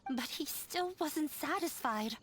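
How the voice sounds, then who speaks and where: A woman's voice: high-pitched, lively intonation, slow pace, fairly bright tone, heavily acted. An actress playing a despondent, self-blaming young woman in a video game, speaking in an apologetic, resigned way. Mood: dejected.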